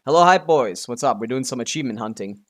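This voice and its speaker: A man's voice: medium pitch, animated, fast talker. A male streamer talks casually through his game in an ordinary mid-range voice. He mutters half to himself and half to his viewers, with loose, offhand swearing. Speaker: live streamer. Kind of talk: casual gaming livestream chatter.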